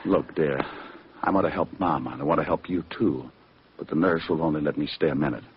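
A low-voiced, wry male private eye from an old radio crime drama. He is quick with a quip but can be gentle. The sound is muffled, with a faint hiss. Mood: gentle, soothing. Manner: wry, wisecracking. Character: wisecracking male private detective, ex-cop, gentle with victims. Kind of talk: 1940s-50s radio detective acting.

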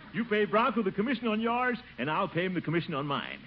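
An actor playing a blustering, scheming middle-aged uncle with a chuckling voice in a 1950s radio comedy. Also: male voice, sits high, huge pitch swings, normal speed, a little hiss or hum. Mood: gleeful, bossy. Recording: muffled old radio recording with music.

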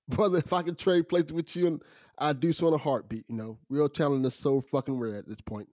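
A man's voice: a little high, fairly even intonation, fairly quick, warm tone, no echo, studio quality, close to an everyday voice. A man playing a cocky, flashy record executive in a horror-comedy audio drama. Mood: condescending.

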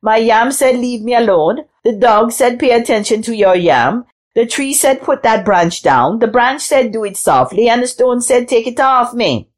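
Woman voicing a frightened farmer, high and breathless. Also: expressive, normal speed, close to an everyday voice. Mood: complaining. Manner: breathless, agitated. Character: frightened, excitable male farmer pouring out his troubles.

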